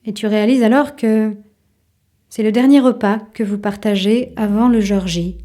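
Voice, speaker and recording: A woman narrating a literary audio drama in an intimate, measured way, with a soft voice. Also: medium pitch, steady tone, natural voice. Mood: restrained. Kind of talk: literary second-person narration in audio fiction.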